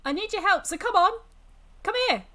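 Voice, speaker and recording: A woman's voice: fairly high pitch, lively intonation, slow pace, a bit bright, exaggerated voice. Woman voicing a gleeful, wicked, cackling ancient fiend. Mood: impatient. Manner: theatrical, mocking, cackling. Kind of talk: dramatic visual novel reading.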